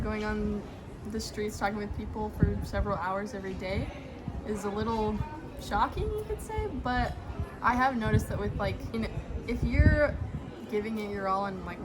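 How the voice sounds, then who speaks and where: A young woman from Las Vegas, a missionary, answering interview questions in a hesitant, soft-spoken way with many fillers. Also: a little high. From Las Vegas, Nevada, USA. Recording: loud background noise.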